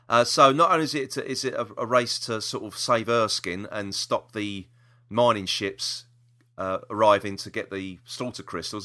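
A man's voice: on the low side, bright, forceful tone. A man chatting casually as a podcast co-host, enthusiastic and repeating himself for emphasis. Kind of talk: casual review chat about audio dramas.

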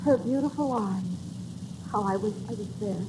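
A woman's voice: medium pitch, moderate pace, background noise, lightly acted. An actress playing a loving young mother and former stage performer in 1940s radio drama. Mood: tender. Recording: old radio broadcast recording.

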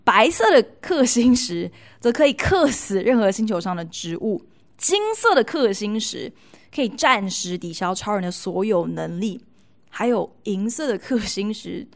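A woman with a Taiwanese Mandarin accent hosting a podcast, telling stories chattily with playful, expressive emphasis. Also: mid-range voice, unhurried. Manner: expressive, playful emphasis with colloquial sentence-ending particles. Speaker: podcast host. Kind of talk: chatty storytelling monologue to listeners.

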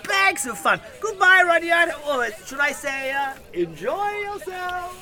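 A British actor playing a cheerful, oblivious funeral officiant in an audio sitcom, rambling on breezily. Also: male voice, extremely high pitch, very animated, unhurried, exaggerated voice. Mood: breezy, cheerful.

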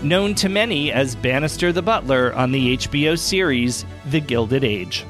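A man hosting an interview podcast, speaking in polite, measured presenter phrases. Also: mid-range voice, expressive, over music. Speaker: podcast host. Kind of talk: interviewing with prepared introductions.

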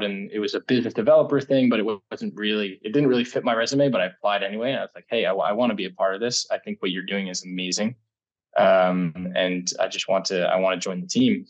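Husky low-voiced man, from the United States, rambling, self-correcting, laughs a lot, interview guest. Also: muffled recording. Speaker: guest, startup incubator energy lead. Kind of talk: casual interview storytelling.

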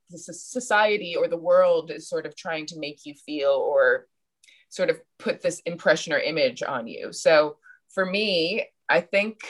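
Woman, thirty, low-ish voice, podcast guest, thoughtful, searching. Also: a bit bright. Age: 30s. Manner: reflective, searching, laughs at herself. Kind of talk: casual interview chat.

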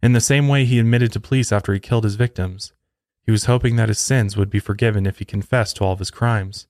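A man's voice: lowish voice, fairly even intonation, quick pace, a little mellow, no room sound, clean studio sound. A man hosting a podcast, reading a scripted true crime narration. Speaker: podcast host.

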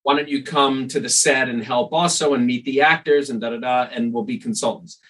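A male podcast host with a bright, forceful edge to his voice and a pitch in the middle range. He talks in a relaxed, chatty way and tends to drift into personal stories. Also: a little fast, slightly rough, poor audio. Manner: chatty, storytelling asides. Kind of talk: casual interview chat.

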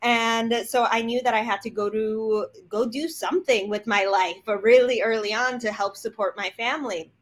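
A woman's voice: a little high, steady tone, normal speed, few pauses. A woman from Poland, a guest on a podcast, telling her story in an upbeat, reflective way. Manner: upbeat and reflective, with light laughs.

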